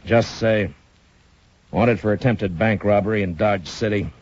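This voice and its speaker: An American lawman of the Old West speaks in a deep male voice. He is laconic and steady with dry humor. The muffled sound is that of a vintage 1950s radio western. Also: measured pace, no room sound. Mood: grave, businesslike. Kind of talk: 1950s radio western acting and first-person narration. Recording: dull, lo-fi 1950s radio recording.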